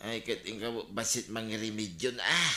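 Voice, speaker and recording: A man's voice: lowish voice, moderate pace, pushed and bright, echoey room. A man playing a bumbling, drunken tricycle-riding husband with a whiny, protesting manner in a comedic radio drama. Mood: sheepish pleading. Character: excitable drunken tricycle rider, bumbling husband pleading with wife.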